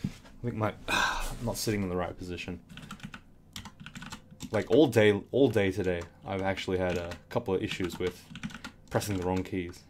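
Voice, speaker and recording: Low, slightly raspy male game commentator. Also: moderate pace, long pauses, a little hiss or hum. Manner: relaxed, rambling, with frequent fillers and restarts. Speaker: game tutorial host. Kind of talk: casual gameplay commentary. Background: keyboard clicks.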